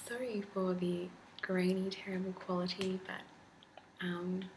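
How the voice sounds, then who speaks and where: A woman in her twenties whispering a rambling ASMR chat, with a slightly deep whisper, recorded on a laptop in a candlelit room at home. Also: little pitch movement, slow pace. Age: 20s. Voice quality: slightly deep whisper, not soft-spoken. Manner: rambling, chatty, laughs often. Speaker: ASMR creator. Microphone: laptop mic. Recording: grainy noisy laptop recording.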